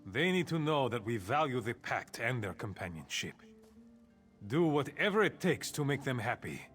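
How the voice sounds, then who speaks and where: A man's voice: mid-range voice, big pitch swings, moderate pace, fairly bright tone, soft background music. A man playing an earnest, exasperated loyal thane and royal advisor in a video game, speaking with weary concern. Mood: earnest, resolute. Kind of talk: video game character acting.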